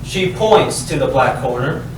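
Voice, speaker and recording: A man's voice: medium pitch, expressive, a little slow. A man reading scripted stage directions as a narrator at a comedic staged reading. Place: live staged reading in a roomy space. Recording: very echoey noisy room, distant mic.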